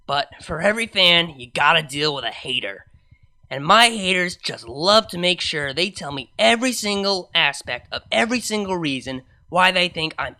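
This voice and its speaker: A woman's voice: fairly low pitch, bright, forceful tone. A woman voicing a brash young unicorn nightclub DJ, telling a fan fiction story in chatty, slangy, sardonic asides. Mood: wry, boastful. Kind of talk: first-person fan fiction narration.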